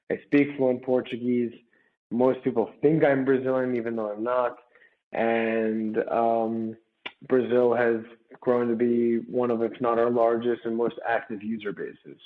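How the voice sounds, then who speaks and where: A man speaks over a narrow, phone-like connection. He sounds like a founder in an interview, explaining his product conversationally and punctuating points with short tag questions. His pitch sits in a middle range. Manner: talkative, checks in with tag questions. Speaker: startup founder being interviewed. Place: remote call. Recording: muffled, phone-like remote call audio.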